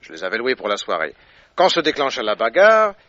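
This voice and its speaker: Radio detective: self-assured, shrewd, theatrical, sharp-toned and lively, muffled old broadcast sound. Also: male voice, medium pitch, brisk, no echo. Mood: confident, triumphant. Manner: theatrical, explanatory, confident. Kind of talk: French radio drama acting. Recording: dull, dry old radio recording.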